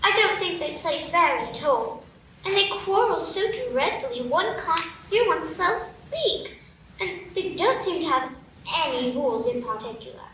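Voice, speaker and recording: A curious, polite young girl, full of wonder. Her voice is very high and bright. It rings out roomy and far off, as if from a live stage radio play. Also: sing-song, normal speed, a little hiss or hum, heavily acted. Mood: indignant.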